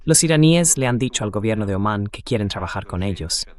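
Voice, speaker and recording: A man's voice: fairly low pitch, expressive, fairly quick. A man explaining political developments in a synthetic dubbed translation voice.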